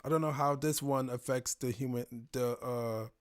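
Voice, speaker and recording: Male reaction host, average pitch, casual, halting delivery, repeated phrases, spontaneous commentary. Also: steady tone.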